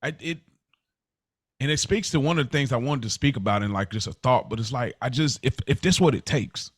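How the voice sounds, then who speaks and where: Earnest man in halting podcast chat. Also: mid-range voice, breathy edge, no room sound, studio quality. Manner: halting, repeats phrases for emphasis, earnest. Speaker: podcast co-host. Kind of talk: casual conversational podcast chat.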